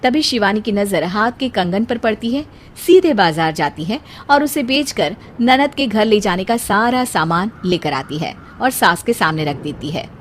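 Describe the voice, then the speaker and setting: A woman's voice: mid-range voice, expressive, normal speed, hardly any pauses, slight background noise. A woman delivering scripted storytelling narration for cartoon family moral stories.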